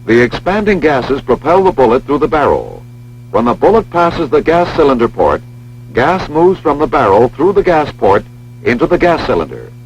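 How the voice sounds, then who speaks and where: American male training film narrator, lively intonation. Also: mid-range voice. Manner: measured, precise, formal. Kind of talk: scripted instructional narration. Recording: dull lo-fi film soundtrack, humming noise.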